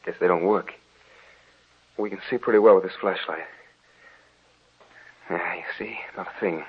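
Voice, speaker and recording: An actor playing a practical, caring young husband in a 1940s-style radio drama. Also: male voice, deep voice, steady tone, normal speed, slightly rough, exaggerated voice. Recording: tinny, narrow old radio recording.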